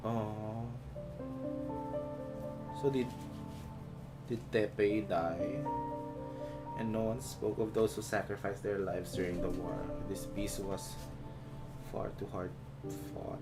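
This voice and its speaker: A man's voice: on the low side, steady tone, takes it slowly, long pauses, rough recording. A man streaming a video game, reading its text aloud with some stumbles and reactions. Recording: loud game music over the voice. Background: game music with plucked strings.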